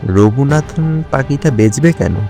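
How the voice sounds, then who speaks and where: A man's voice: medium pitch, expressive, unhurried, warm tone, soft background music. A male actor playing a puzzled, curious police officer in an audio drama, speaking in a questioning manner. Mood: puzzled, probing. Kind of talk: Bengali audio drama dialogue.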